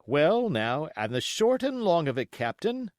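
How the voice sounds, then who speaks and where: A reasonable gentleman doctor, voiced by a male audiobook reader. He questions courteously and with quiet authority, slowly, with a widely moving pitch. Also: very animated, no echo, studio quality. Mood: direct. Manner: measured, courteous, probing. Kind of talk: audiobook character acting.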